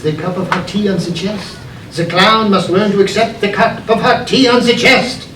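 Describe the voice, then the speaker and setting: A grave, pompous clown master, acted out by a man telling a story. He speaks slowly and deliberately, with intense, gentle firmness. The sound is echoey and distant from the mic. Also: high-pitched, background noise, pitched above the performer's own voice, close to an everyday voice. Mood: earnest. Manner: slow, deliberate, solemn, gentle but firm.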